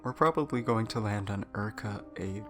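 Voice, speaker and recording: An actor playing a weary, ailing starship crewman in an audio drama, confessing in a halting, subdued and apologetic way. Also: fairly low pitch, music in the background, lightly acted. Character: weary, guilt-ridden ailing male starship crew member confessing.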